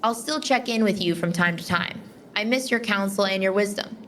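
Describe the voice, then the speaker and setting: Woman as earnest young warrior, mid-pitched. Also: bright, forceful tone, a little hiss or hum, lightly acted. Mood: solemn, caring. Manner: earnest, measured. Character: steadfast, plain-spoken young woman Titan warrior, reflective and loyal. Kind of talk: fan audio drama acting.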